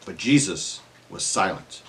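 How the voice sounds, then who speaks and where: A man narrating a dramatic scripture reading in an expressive, measured storytelling manner. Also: low-pitched, creaky, recorded from a distance, slight background noise.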